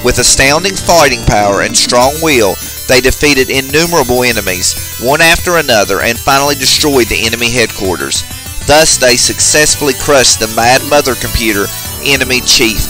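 A man's voice: medium pitch, lively intonation, moderate pace, low-quality recording. A man giving casual, off-the-cuff commentary as he goes through a video game. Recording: game music behind the voice. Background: video game music.

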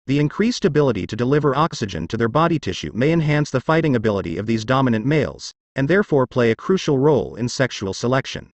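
Male reader for scripted informational passages; animated pitch movement, dry recording. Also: quick pace, hardly any pauses, dry recording. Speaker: reader of practice test passages. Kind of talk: scripted read-aloud of informational text.

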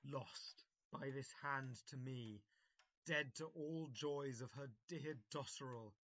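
An irascible gentleman of a period comedy as an amateur actor plays him, blustering and gullible. The delivery is slow and theatrical, the tone sharp and forceful. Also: medium pitch. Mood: aggrieved. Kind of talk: amateur audio drama of an early modern comedy.

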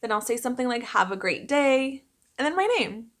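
A woman's voice: on the high side, lively intonation, brisk, fairly bright tone. A young adult woman giving a casual, explanatory vlog talk to camera in a small room at home. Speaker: vlogger, voice actor. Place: small home office indoors.

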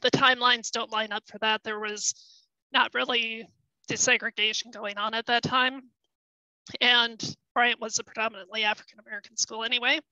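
A woman's voice: a little high, flat delivery, normal speed, bright, forceful tone, dry recording, rough recording. A middle-aged woman historian giving a careful online talk, hesitating with ums and losing her voice. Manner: explanatory, careful, with frequent ums and self-corrections. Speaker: historian presenting research. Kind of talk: webinar presentation.

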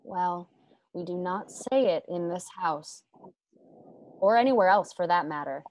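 Slow-spoken, tender but firm mother, acted by a woman. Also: lowish voice, expressive, dry recording, rough recording. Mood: firmly scolding. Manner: gentle, measured, admonishing. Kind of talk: radio drama read-through. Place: home room, remote video call.

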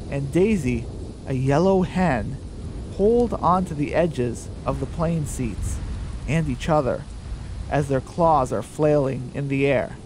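A man narrating a scripted radio drama in a deliberate storytelling delivery. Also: mid-range voice, background noise.

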